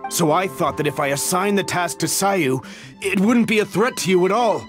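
A man's voice: high voice, normal speed, soft background music, lightly acted. An actor playing an anxious middle-aged official and fretful father in a video game, flustered, earnest and explanatory. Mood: anxious, confessing.

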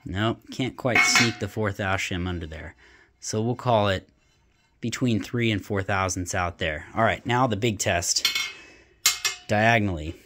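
A man's voice: fairly low pitch, unhurried, noisy background. A man in a small home workshop, casually thinking aloud as he demonstrates a hands-on test, with plenty of fillers. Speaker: hobbyist welder demonstrating a test. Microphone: camera worn on the body, point-of-view framing.

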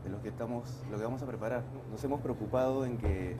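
Halting chef. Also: male voice, medium pitch, background noise. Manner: halting, many restarts and fillers. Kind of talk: casual kitchen interview. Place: restaurant kitchen and sushi bar.

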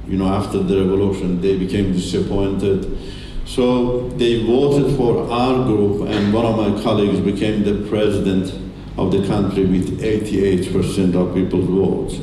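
A middle-aged man whose first language is Turkish, giving an earnest, halting testimony talk in a large church hall with a handheld mic. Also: lowish voice, dark tone. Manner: earnest, halting, with many restarts. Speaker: guest speaker. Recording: echoey hall, distant mic, faint hiss.

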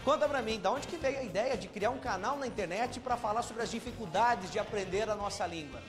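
A man in his thirties interviews a guest with cheerful, talkative energy, holding a handheld mic on an indoor studio set. His voice sits high for a man, and music plays underneath. The sound is a TV feature. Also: a little fast. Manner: upbeat, chatty presenter.

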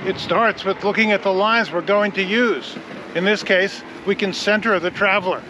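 A man's voice: high-pitched, fairly even intonation, normal speed. A man giving practical sailing instruction in an explanatory, teacherly way. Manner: didactic, explanatory. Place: outdoors on a sailboat under way. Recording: windy outdoor boat noise.

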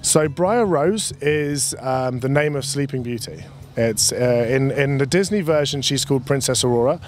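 A young man at an outdoor table at a winery, explaining wine in a relaxed, chatty way with many restarts, into a handheld mic. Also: medium pitch, normal speed. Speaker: winery representative. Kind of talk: casual explanatory talk. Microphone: handheld mic held by the interviewer.

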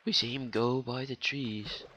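A man's voice: medium pitch, steady tone, measured pace, bright, forceful tone, slight background noise. A young man with a non-native English accent, rambling and swearing casually as he comments on his own gameplay. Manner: rambling, swearing, joking to viewers. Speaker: gaming YouTuber.

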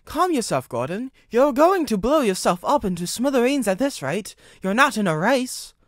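Man playing a high-pitched scolding engine driver. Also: very animated, a little slow, dry recording, clean studio sound. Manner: blunt, sharp-tongued. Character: exasperated, scolding male steam engine driver.

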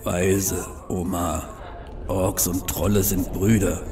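A man's voice: sits low, level tone, slow pace, mellow, faint music behind. An actor playing a big, loyal male troll guard in a fantasy audio drama, with a weighty delivery. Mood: calm, steady. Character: big deep-voiced male troll guard, loyal husband, plain-spoken.

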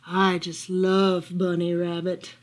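A woman's voice: fairly low pitch, completely flat delivery, voice pushed down. A woman voicing a sly, hungry male fox villain in a storybook, muttering and drawing out words. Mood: sly, scheming. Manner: muttering, drawn-out, scheming.

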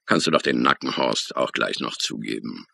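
An actor playing a blunt, sarcastic police detective interrogating a suspect in a radio crime drama. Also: male voice, extremely low pitch, moderate pace, creaky, bright, forceful tone, no room sound, exaggerated voice. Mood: sardonic, pressing. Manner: blunt, sardonic, colloquial. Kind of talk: German radio play acting.

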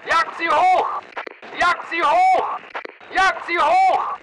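An actor playing a tough, disciplined mercenary soldier in a video game, giving clipped military commands. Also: male voice, very high voice, fairly even intonation, very slow, recorded from a distance, noisy background, full character voice. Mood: barking, urgent. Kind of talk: military battle chatter, short barked commands.

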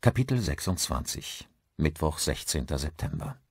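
A man narrating a thriller audiobook in a measured, articulate storytelling manner. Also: extremely low pitch, very animated, slightly rough, no room sound, studio quality.

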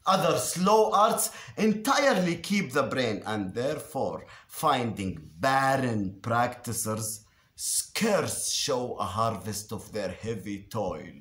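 A man reciting Shakespearean verse theatrically, declamatory and deliberate, with dramatic pauses and emphatic swells. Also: mid-range voice, lively intonation, fairly bright tone. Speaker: actor reciting a Shakespeare monologue. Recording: roomy, echoing space.

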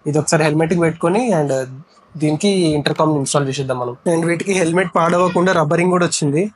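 A man's voice: on the high side, steady tone, rapid-fire, breathy edge. A man in his twenties with a Telangana Telugu accent, giving a casual how-to vlog talk mixed with English. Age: 20s. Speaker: vlogger. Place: outdoors on a tiled house porch. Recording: faint ambient outdoor noise.

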